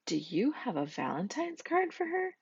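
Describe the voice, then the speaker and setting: Woman voicing a high, sweet lady skunk. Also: wildly swooping pitch, normal speed, creaky, slightly rough, no room sound, dull audio, full character voice. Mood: friendly, coaxing. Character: sweet, hopeful lady skunk pushing a perfume cart. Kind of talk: children's storybook character voice.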